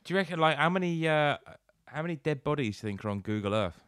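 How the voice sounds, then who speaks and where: A man's voice: a little high, expressive, fast, fairly bright tone, clear, resonant tone, no room sound, clean studio sound. A man co-hosting a podcast, chatting casually and reacting to stories. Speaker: podcast co-host.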